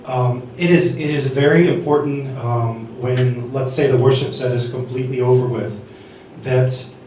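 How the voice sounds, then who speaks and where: A man's voice: mid-range voice, fairly even intonation, a little mellow, slight background noise. Guy explaining a mixing board, lots of ums and restarts, big echoey church hall, mic kinda far off. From United States. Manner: halting, many restarts and fillers. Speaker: seminar speaker. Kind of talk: teaching sound-desk basics.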